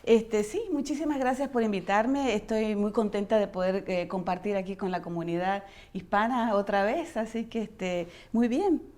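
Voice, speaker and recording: A middle-aged woman with an Argentine accent from outside Buenos Aires, chatting as a guest physician on an interview show. She wanders through her answers with plenty of fillers and false starts, in a voice of ordinary pitch for a woman. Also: normal speed, hardly any pauses, a little mellow. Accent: Argentine, non-Buenos Aires. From Argentina, near Chile. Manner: rambling, many fillers and restarts. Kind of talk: casual interview chat.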